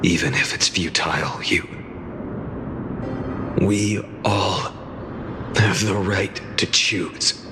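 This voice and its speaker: Tired grim game character, super slow n deep, solemn, echoey w music behind. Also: male voice. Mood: weary, firm. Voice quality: low, gravelly. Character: weary, resigned man hardened by countless repeated cycles. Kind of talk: dramatic video game acting. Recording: long reverb under music.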